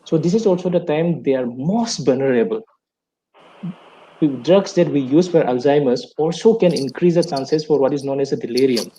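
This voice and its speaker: A man's voice: on the high side, normal speed, dark tone, a little hiss or hum, poor audio. A man, a panelist who earnestly explains points and asks rhetorical questions. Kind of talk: online panel discussion.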